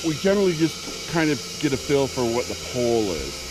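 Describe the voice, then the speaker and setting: A man's voice: mid-range voice, very animated, a little fast, poor audio. A man explaining a hands-on technical job in casual conversation, as an instructor. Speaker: balloon launch instructor.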